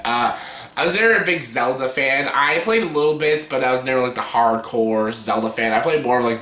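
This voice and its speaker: Late-20s man, scratchy, bright and forceful, casual rambling vlogger, roomy echo. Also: medium pitch. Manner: rambling, profane, filler-heavy. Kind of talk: casual top-ten countdown.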